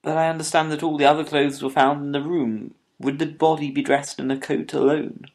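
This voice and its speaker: An actor playing a detective's attentive companion and narrator in a dramatised audiobook, with polite, measured, formal period diction. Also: male voice, fairly high pitch, level tone, darkish tone. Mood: attentive, curious.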